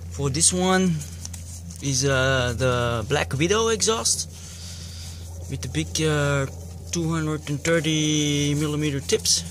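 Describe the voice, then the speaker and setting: A fairly high-voiced Belgian man talks haltingly in non-native English as he demonstrates a cleaning job. He fills his sentences with pauses and restarts. The phone recording carries clear background clatter. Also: fairly bright tone, slight background noise. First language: not English. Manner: halting, with many fillers and restarts. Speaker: DIY video maker. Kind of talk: casual hands-on demonstration. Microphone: phone camera.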